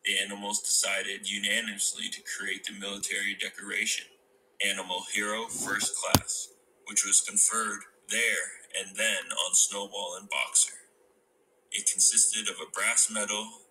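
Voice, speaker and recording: A man's voice: on the low side, little pitch movement, measured pace, noisy background. A man reading a novel aloud as scripted storybook narration.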